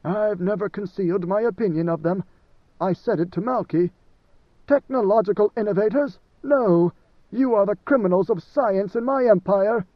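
A man's voice: high-pitched, normal speed, no room sound, pitched above the performer's own voice. A man voicing an ancient, tormented God Emperor who speaks with anguish and command. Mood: scornful. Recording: dry, dull lo-fi sound.

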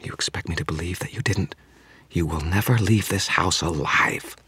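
A man's voice: sits low, normal speed, a bit bright, slightly rough, dry recording, exaggerated voice. A man voicing a monstrous yet sultry female vampire who snarls and hisses in a half-whisper. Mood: menacing.

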